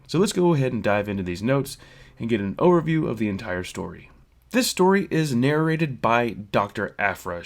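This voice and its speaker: A man hosting a fan review channel, explaining a story from his notes with casual asides. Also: on the low side. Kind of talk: spoiler story recap from notes.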